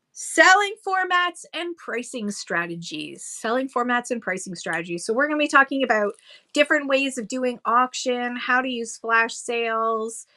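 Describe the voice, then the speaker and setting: A woman's voice: a little high, bright, forceful tone. A Canadian woman hosting a seller livestream, chatting casually and reading viewer comments aloud with frequent ums. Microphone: phone.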